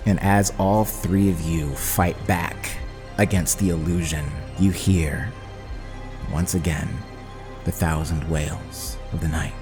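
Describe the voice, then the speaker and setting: A man with a very low voice narrates a tabletop game as its game master. He speaks slowly and descriptively, with dramatic weight. His voice rings in a long reverb. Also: fairly even intonation, over music.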